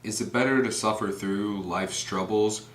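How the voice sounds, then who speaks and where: Man reading as grave reflective prince, deep voice, scripted monologue, echoing room. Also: steady tone, moderate pace, fairly bright tone, natural voice. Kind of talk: scripted plain-English paraphrase of a soliloquy. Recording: echoey room with background noise.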